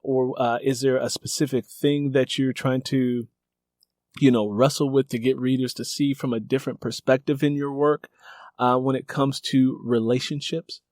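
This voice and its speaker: A man hosts an interview with a dry sound and an average-pitched voice. He talks conversationally and discursively, building gradually toward what he wants to ask. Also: little pitch movement, moderate pace, a little mellow, no room sound. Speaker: interview host.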